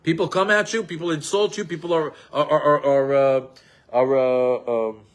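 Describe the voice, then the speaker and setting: A man teaches a Torah class in an animated, emphatic way. His voice sits somewhat high for a man. He quotes Hebrew texts and then explains them in English. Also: moderate pace. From Israel, raised in New York.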